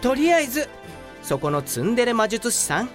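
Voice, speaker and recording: A woman's voice: a little high, very animated, brisk, faint music behind. A woman playing an earnest, delusional young male office geek in a comedy radio drama, polite yet pompous and theatrical. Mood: deadpan, self-important.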